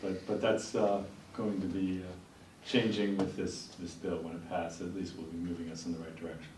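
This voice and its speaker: A male host talks in a measured, hesitant way with many "uh" pauses. His voice is very deep and flat in tone. He is heard from off-mic, with echo in the room. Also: recorded from a distance. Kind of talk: policy discussion. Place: TV studio. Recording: roomy studio heard from off-mic, faint noise.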